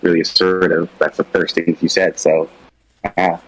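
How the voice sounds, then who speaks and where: A man with a low-pitched, rough-edged voice chats about characters. His phrasing is loose and tentative, and he keeps it informal. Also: little pitch movement, normal speed, a bit bright, low-quality recording. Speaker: animation project team member. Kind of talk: casual chat discussing characters.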